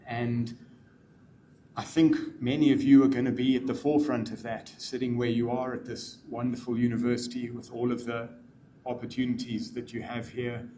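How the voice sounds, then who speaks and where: A middle-aged man with a South African accent giving measured welcome remarks at a symposium, with frequent ums. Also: mid-range voice, dark tone, slight background noise. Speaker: conference speaker, program manager.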